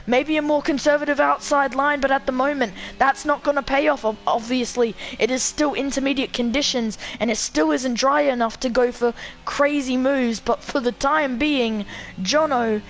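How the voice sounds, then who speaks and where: A woman commentating live on a sim race, her voice slightly croaky from being sick. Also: high voice.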